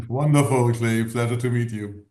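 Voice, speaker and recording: A man's voice: medium pitch, steady tone, a little mellow. German-accented man in a roomy room. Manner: halting, many "you know" fillers and restarts. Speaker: guest, former executive. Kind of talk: interview answers. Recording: roomy echo.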